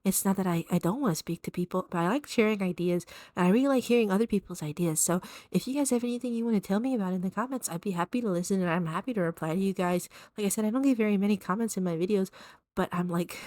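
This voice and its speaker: A young woman chatting casually while she draws, soft-spoken and rambling, with a fairly monotone voice and lots of fillers and restarts. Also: medium pitch, fast, dry recording, clean studio sound. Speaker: art vlogger. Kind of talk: casual chat while drawing.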